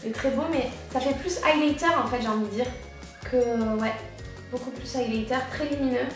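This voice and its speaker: A woman's voice: high-pitched, a little fast, distant mic. A woman with a cold chatting casually as a beauty vlogger while she tests and applies makeup. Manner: chatty, rambling, frequent fillers. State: congested with a cold. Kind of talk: casual makeup chat while applying products. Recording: very echoey room, off-mic, faint music.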